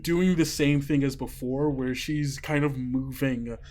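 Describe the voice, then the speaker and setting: Man, game master, mid-pitched, unhurried, informal, halting, descriptive, faint noise behind. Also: steady tone, a little mellow. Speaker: podcast host and game master. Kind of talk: narrating and hosting an actual-play tabletop podcast.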